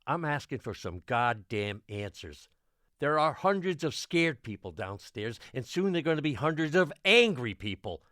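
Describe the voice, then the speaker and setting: A man playing an exasperated, forceful small-town mayor in an audio drama, speaking bluntly and officially. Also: mid-range voice, very animated, normal speed, dry recording, clean studio sound. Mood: angry, demanding. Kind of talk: dramatic podcast acting.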